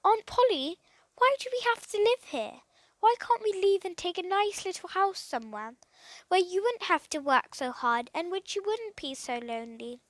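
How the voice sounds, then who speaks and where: A woman plays an outspoken young teenage boy in a children's storybook. The voice is very high, eager and direct, often questioning. The recording is dry and close. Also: expressive, normal speed, no room sound. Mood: pleading. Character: concerned, outspoken thirteen-year-old boy. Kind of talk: children's storybook read aloud.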